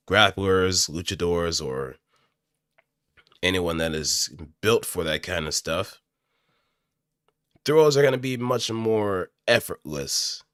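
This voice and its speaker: A man's voice: low-pitched, a bit bright, no room sound. A man talking casually and thinking aloud as he explains his ideas like an amateur voice actor, on a close microphone. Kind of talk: casual improvised explanatory commentary. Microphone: close studio microphone through an audio interface.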